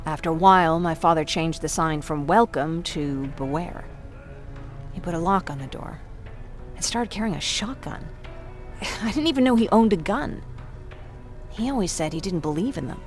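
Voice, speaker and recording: A woman's voice: on the low side, expressive, moderate pace, pauses often, soft background music, natural voice. A woman playing a troubled young survivor, reflective and confiding, in a video game. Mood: wistful, confiding. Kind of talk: intimate video game dialogue.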